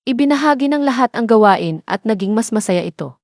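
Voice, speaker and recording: A woman's voice: a little high, animated, fairly quick, a little mellow, no room sound, clean studio sound. A woman with a Filipino accent narrating a scripted children's bedtime story. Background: music bed.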